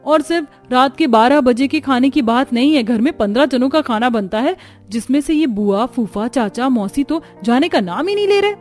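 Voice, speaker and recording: A woman as fed-up, high-voiced sister-in-law. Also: animated, moderate pace, a little mellow, soft background music. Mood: pleading, fed up. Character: pleading, worn-out younger sister-in-law. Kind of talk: Hindi cartoon story acting.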